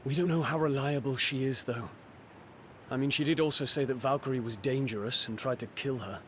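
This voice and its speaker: Casting: actor as easygoing young male ally, medium pitch, informal delivery, faint rumble behind. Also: fairly quick, a bit bright, lightly acted. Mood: doubtful. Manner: casual, informal phrasing. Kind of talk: fantasy video game acting.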